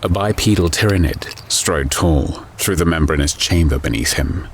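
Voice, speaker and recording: A man narrating a dark science fiction story in a scripted, dramatic, measured and ominous style. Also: low voice, background noise. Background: music.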